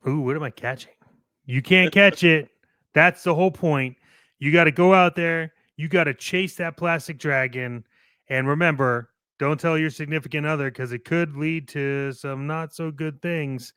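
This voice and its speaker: A man co-hosting a toy collectors' show, giving casual, playful promotional shout-outs like a pitchman. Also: moderate pace, clear, resonant tone, no room sound, studio quality.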